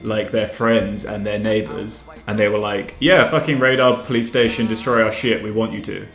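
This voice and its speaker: A man chatting casually on a podcast, cutting in with quick questions and casual swearing. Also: fairly low pitch, fairly even intonation, creaky, a bit bright, roomy sound, faint music behind. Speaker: podcast co-host. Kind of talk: casual chat.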